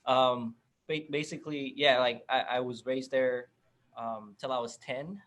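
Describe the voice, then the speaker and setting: A man's voice: medium pitch, steady tone, unhurried, a bit bright. A young man from the Philippines, a rapper guest in a casual interview, easygoing, laughing often and restarting his sentences.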